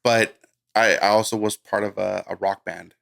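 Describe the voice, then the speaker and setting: A man from Guatemala who grew up in the United States, giving a casual interview as a music producer, telling his story informally and haltingly. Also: lowish voice, steady tone, gravelly, fairly bright tone. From born in Guatemala, raised in the United States. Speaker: music producer, podcast guest.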